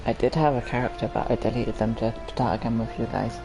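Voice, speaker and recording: A man with a hoarse, phlegmy voice from a cold, chatting loosely and apologetically as he starts a new game. His pitch sits mid-range, and he clears his throat often. Video game music plays clearly behind him. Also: steady tone, quick pace. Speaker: gaming channel host. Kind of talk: casual gameplay commentary.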